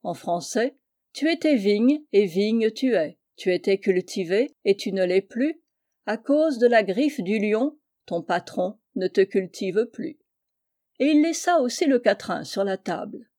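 A woman with a soft, mellow voice reads a historical tale aloud. Her delivery is measured and literary, like an audiobook narrator. The pitch sits in a middle range for a woman, with a dark, relaxed tone. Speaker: audiobook reader.

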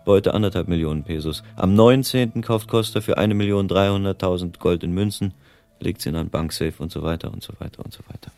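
An actor playing a world-weary private detective in a German radio drama, explaining things bluntly in colloquial speech. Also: male voice, low voice, quick pace, darkish tone, soft background music. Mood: insistent, explaining.

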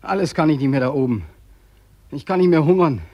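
An actor in a radio play as a weary, despairing twenty-five-year-old war veteran, pleading and bitter. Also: male voice, on the high side, fairly quick, no room sound, lo-fi sound, lightly acted. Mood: desperate. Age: young adult.

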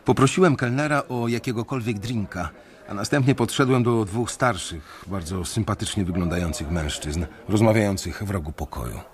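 An actor narrating as a young male bank analyst in a radio drama, measured and reflective. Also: lowish voice, natural voice.

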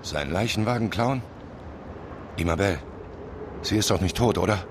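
Casting: naive lovesick man, deep register, pleading, radio crime drama. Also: normal speed, noisy background. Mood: anxious.

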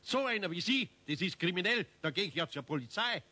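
A man's voice: high voice, animated, brisk, pushed and bright, full character voice. An actor playing a kindly, upright, middle-aged Bavarian master craftsman, plain-spoken, in a 1970s children's radio play. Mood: indignant.

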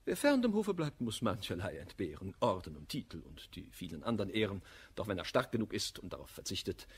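An actor playing a proud, blunt misanthropic gentleman in a classic verse radio comedy, declaiming with emphatic scorn. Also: deep voice, big pitch swings, a little fast, a little hiss or hum. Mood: scornful.

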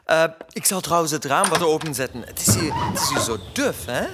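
A man's voice: fairly high pitch, animated, a little fast, very noisy, close to an everyday voice. A man playing an obsequious, scheming court steward in a theatrical fairy-tale radio play. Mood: falsely cheerful. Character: scheming, fawning male court steward of an emperor. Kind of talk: fairy-tale audio drama acting.

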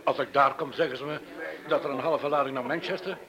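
A man's voice: medium pitch, fairly even intonation, fast talker, dull audio, natural voice. An actor in a radio drama playing a grumbling lorry driver telling his tale. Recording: crowded cafe chatter behind voice.

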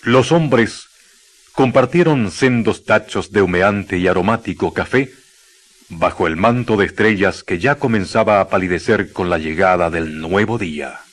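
A man's voice: on the low side, moderate pace. A man narrating a radio drama in a scripted, literary storytelling style.

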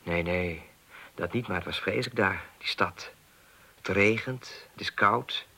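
A man's voice: low voice, measured pace, creaky, bright, forceful tone, heavily acted. A man playing an awkward, earnest young idealist in a Dutch radio play, speaking hesitantly with stammers. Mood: troubled. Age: 20s. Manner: hesitant, stammering, agitated when recounting.

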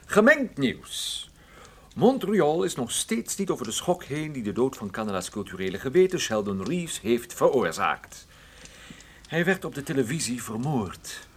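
An actor playing a wry, unflinching private detective in radio play dialogue, with a dry, sardonic manner. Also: male voice, medium pitch, sing-song, a little slow, a bit bright, breathy edge, heavily acted. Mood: accusing, sardonic.

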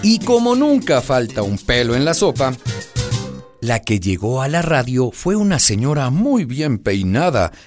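A man narrating a neighbourhood radio drama as an expressive storyteller, in a scripted style. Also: medium pitch, unhurried, over music. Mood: storytelling.

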